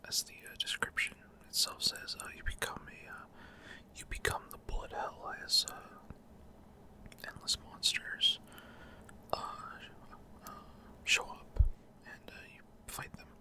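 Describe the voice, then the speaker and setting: Whispering man in halting ASMR game commentary. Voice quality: soft, unvoiced whisper. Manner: halting, frequent pauses mid-sentence. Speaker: gaming video host.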